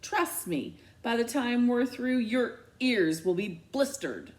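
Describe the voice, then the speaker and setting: A woman's voice: fairly high pitch, animated, pitched above the performer's own voice. A woman voicing a furious, protective mother in a storybook, stern and unforgiving, biting out emphatic words. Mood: threatening. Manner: slow, emphatic, clipped commands.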